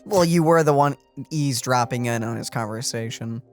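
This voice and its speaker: A woman's voice: very deep voice, normal speed, darkish tone, faint music behind. A woman giving casual let's play commentary as a streamer.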